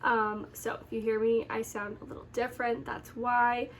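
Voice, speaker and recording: A young American woman in her early twenties talks casually to her viewers in a fairly high voice. She sounds a little stuffed up and nasal, as if with a sore throat, and rambles in a chatty vlog style. A faint noisy hum sits behind her. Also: little pitch movement, moderate pace. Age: early 20s. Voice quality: slightly nasal, congested. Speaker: lifestyle vlogger.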